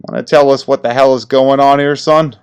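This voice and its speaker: A man's voice: medium pitch, fairly even intonation, a little fast, faint music behind, pitched above the performer's own voice, natural voice. A man playing a tough middle-aged detective who is stern but charming. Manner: stern, gruff.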